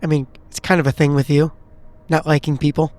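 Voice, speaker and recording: A man's voice: a little high, level tone, fairly quick, darkish tone. A man playing a tabletop game, improvising polite, tentative, conversational dialogue in character. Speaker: player.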